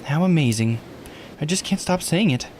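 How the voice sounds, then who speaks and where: A man voicing an eager, excitable young-sounding female guide in a visual novel, informal and enthusiastic. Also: a little high, normal speed, slight background noise, voice pushed up, close to an everyday voice. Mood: eager, teasing.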